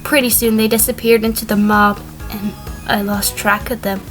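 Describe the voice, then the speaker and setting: A woman's voice: mid-range voice, little pitch movement, moderate pace, a bit bright, soft background music, lightly acted. A woman playing an evasive, defensive sheep on the witness stand in a fan courtroom audio drama, explaining carefully.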